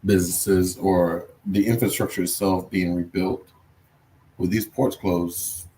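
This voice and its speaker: A man's voice: sits low, steady tone, unhurried, mellow, poor audio. A man co-hosting a podcast, talking through the news casually with jokes and fillers. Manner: laid-back, joking, with fillers. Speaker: podcast co-host presenting news. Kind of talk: casual chat.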